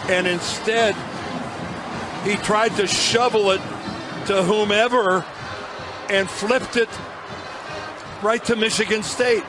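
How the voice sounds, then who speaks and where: Stunned slow-talking male commentator. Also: sits high, steady tone, over music, low-quality recording. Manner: stunned, halting, searching for words. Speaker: color commentator. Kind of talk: radio football commentary. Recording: broadcast with background noise.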